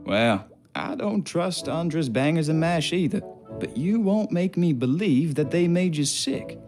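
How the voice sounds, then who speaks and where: An actor playing a gruff, mocking bosun on a merchant ship in a period drama game. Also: male voice, fairly high pitch, animated, unhurried, darkish tone, faint music behind. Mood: mocking, stern. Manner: barking, taunting, commanding. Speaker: actor in a narrative adventure game.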